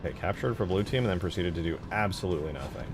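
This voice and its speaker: A man's voice: deep voice, animated, racing, music in the background. A man thinking aloud in casual, informal commentary as he works at a computer, often muttering to himself. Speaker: streamer, game modder. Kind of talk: casual live commentary while scripting a game mod.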